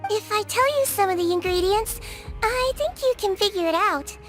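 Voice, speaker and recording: A woman voicing a small, kindly non-human head nurse in a video game, high, sweet and gentle. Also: animated, moderate pace, music in the background. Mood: bargaining. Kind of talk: video game cutscene dialogue.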